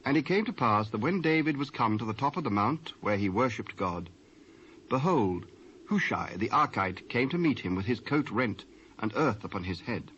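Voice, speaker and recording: British man, scripture narrator, mid-low pitch, solemn, measured, muffled lo-fi cassette sound. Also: expressive, a bit bright, a little hiss or hum.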